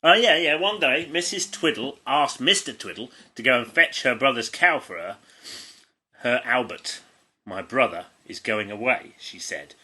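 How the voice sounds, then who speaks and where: A man's voice: medium pitch, animated, normal speed, pushed and bright, rough recording. An English man who co-hosts a comedy podcast, reading a child's stories aloud in an amused, stumbling way with asides. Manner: giggly, often stumbles and breaks off to comment. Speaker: podcast co-host.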